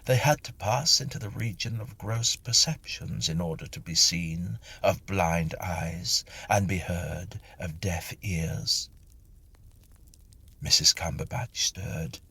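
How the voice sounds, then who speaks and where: A man reading a whimsical ghost story as an audiobook narrator, with measured, dramatic phrasing. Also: sits low, gravelly, bright, forceful tone, husky.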